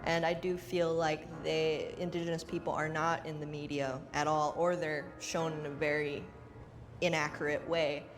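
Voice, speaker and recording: A woman from Hawaii explains her project sincerely and in measured words. Clear music plays under her voice. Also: sits low, level tone, long reverb tail. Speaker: video producer.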